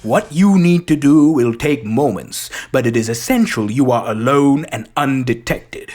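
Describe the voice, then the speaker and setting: An amateur performer reciting a film monologue as a theatrical, grandiloquent gentleman detective with a husky voice. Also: mid-range voice, measured pace, close to an everyday voice. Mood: accusing, dramatic. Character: theatrical gentleman detective, dramatic, deliberate, righteous.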